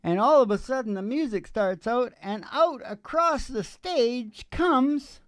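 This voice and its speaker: A middle-aged man hosting a music show, chatting casually and telling rambling, animated stories. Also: very high-pitched, unhurried, no echo, clean studio sound. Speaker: singer and music show host. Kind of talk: casual chat and storytelling between songs. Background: acoustic guitar.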